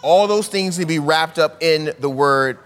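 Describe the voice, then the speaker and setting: A man delivers a sermon in a relaxed, conversational manner, stacking rhetorical questions. His voice sits a little high for a man. The recording carries a long hall reverb. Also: normal speed. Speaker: preacher.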